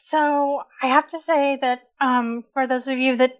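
A woman co-hosting a casual recap show, speaking with a hoarse voice, chatty and opinionated. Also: high voice, steady tone, measured pace. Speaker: co-host.

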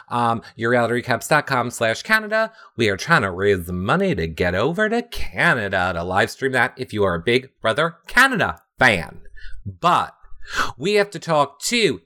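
A man hosting a casual recap show, playful and animated, with sing-song asides. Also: mid-range voice. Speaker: podcast host.

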